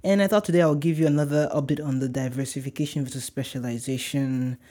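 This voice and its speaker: A man's voice: medium pitch, fairly even intonation, fast talker, mellow. A young man from Nigeria with a light Nigerian accent, hosting a casual solo podcast in an upbeat, chatty, rambling way. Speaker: podcast host.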